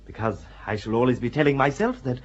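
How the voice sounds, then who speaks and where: An actor playing an impudent nobleman nicknamed Bluebeard who speaks with a slow, mocking drawl. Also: mid-range voice, animated, close to an everyday voice. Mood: sheepish. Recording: dull audio with faint hiss.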